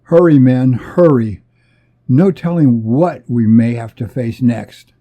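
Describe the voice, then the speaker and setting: A man's voice: medium pitch, slow pace, mellow. A man voicing a commanding, wary space captain who gives urgent orders and warnings in an adventure story. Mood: stern, wary.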